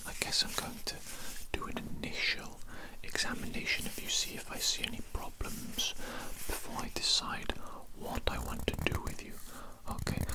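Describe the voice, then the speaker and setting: A performer voicing an evil mad scientist kidnapper in a breathy British whisper, menacing yet fussy, slowly repeating phrases in an ASMR roleplay. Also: close to an everyday voice. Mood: menacing, musing. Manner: slow, deliberate, repeats phrases.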